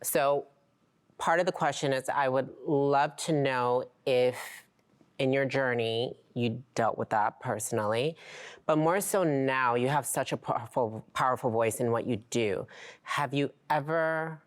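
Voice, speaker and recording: Woman co-host, unusually deep voice, earnest, asks long layered questions. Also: unhurried. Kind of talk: casual interview conversation.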